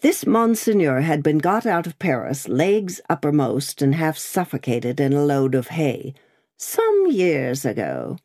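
A woman voicing a contemptuous gentleman in a low, quiet voice. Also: very animated, measured pace, heavily acted. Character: contemptuous gentleman, adult man.

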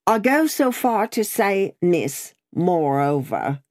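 A woman's voice: mid-range voice, lively intonation, takes it slowly, darkish tone, dry recording, studio quality, pitched above the performer's own voice. A woman voicing an earnest, comically preachy working-class London man, solemn and emphatic, in a storybook reading. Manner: solemn, emphatic, holding forth as from a pulpit.

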